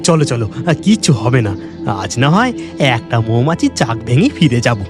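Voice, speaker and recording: A man's voice: mid-range voice, sing-song, fairly quick, music in the background, close to an everyday voice. A man playing a village honey collector husband in a cartoon, bold but easily alarmed, with theatrical exclamations. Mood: urging, confident. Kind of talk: dramatic cartoon dialogue.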